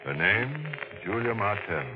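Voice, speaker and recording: An actor playing a macabre, tongue-in-cheek horror host, theatrical and drawn-out, in the style of 1940s radio. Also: male voice, fairly low pitch, big pitch swings. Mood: hushed, ominous. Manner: theatrical, drawn-out delivery with morbid jokes. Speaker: radio mystery show host. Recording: muffled, noisy 1940s radio broadcast.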